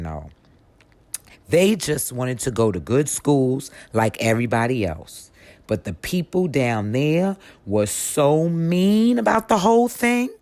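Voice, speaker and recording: A woman reads a history story aloud in an audiobook style. Her delivery is slow and emphatic, with wide, animated swings in pitch. The recording is close and dry. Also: no echo. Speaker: read-aloud narrator.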